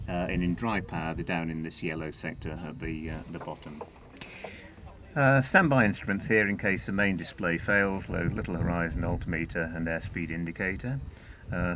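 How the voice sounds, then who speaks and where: An older man giving an informal guided technical tour as a pilot, explaining controls in a halting, matter-of-fact way. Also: deep voice. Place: inside a small jet cockpit on the ground. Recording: loud outdoor ambient and vehicle noise.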